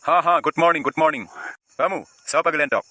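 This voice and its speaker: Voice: medium pitch, fast talker, pushed and bright, dry recording, natural voice. An actor voicing a scolding, exasperated schoolteacher in a cartoon comedy. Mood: stern.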